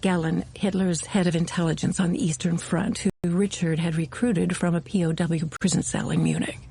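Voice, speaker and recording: An actress playing a woman intelligence veteran, narrating her memoirs in a measured storytelling manner for a radio drama. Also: on the low side, fairly even intonation, warm tone, slightly rough, dry recording. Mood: measured, wry. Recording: studio voice over prominent music.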